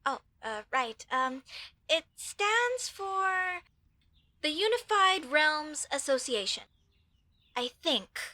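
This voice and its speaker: High, bright young elf girl, acted by a woman. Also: big pitch swings, slow, exaggerated voice. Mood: explaining, sheepish. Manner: hesitant, earnest, chatty, trailing asides. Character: earnest, apologetic young female elf mage student. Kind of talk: comedy audio roleplay, speaking softly to the listener.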